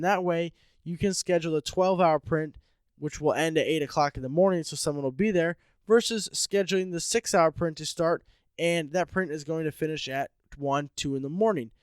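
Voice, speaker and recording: A man's voice: fairly high pitch, clean tone, no echo. Stuffy-nosed man hosting a podcast. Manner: upbeat, informal, conversational. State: stuffed up with a head cold. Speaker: podcast host. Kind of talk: solo podcast explainer.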